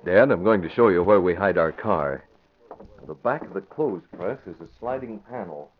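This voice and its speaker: An actor playing a resolute American crime fighter with a brisk, decisive manner in 1940s radio drama style. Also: male voice, deep voice, lively intonation. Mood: confiding. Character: resolute masked crime fighter, grown son, commanding. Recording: muffled, noisy vintage radio recording.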